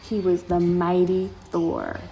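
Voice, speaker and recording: A woman's voice: fairly low pitch, huge pitch swings, slow pace, warm tone, low-quality recording. A woman reading a storybook aloud to young children as a story time host, expressively, with exclamations and asides to her listeners. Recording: clear background noise.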